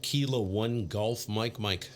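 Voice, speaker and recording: A man's voice: on the low side, takes it slowly. A man live-streaming amateur radio, chatting casually and muttering to himself between call-outs. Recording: clear background radio noise.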